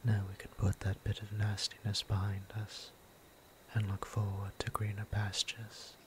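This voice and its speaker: A man playing a gentle, courteous vampire priest in an intimate ASMR roleplay, speaking formally and tenderly in a hushed whisper with deliberate pauses. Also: on the low side, monotone, lightly acted. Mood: tender, soothing. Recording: clear ambient background noise.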